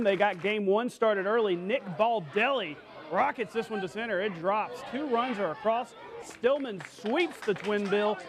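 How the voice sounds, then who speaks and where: A man working as a TV sports anchor, narrating scripted highlights in an energetic, playful way. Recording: music bed behind the voice.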